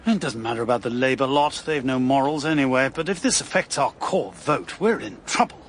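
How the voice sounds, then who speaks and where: An actor playing a worried, caustic political party organiser in a radio drama. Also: male voice, mid-range voice, expressive, a little fast, a little hiss or hum, close to an everyday voice. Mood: worried, exasperated. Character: worried, cynical male party organiser, gossipy and exasperated.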